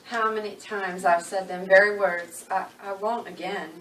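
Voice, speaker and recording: A woman's voice: medium pitch, fairly even intonation, moderate pace, fairly bright tone, natural voice. Woman playing a grieving, defiant country widow. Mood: wounded, defiant. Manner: folksy rural dialect grammar, pleading. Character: grieving, defiant, plain-spoken rural American widow. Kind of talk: dramatic reading of a novel. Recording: roomy, distant, faint background noise.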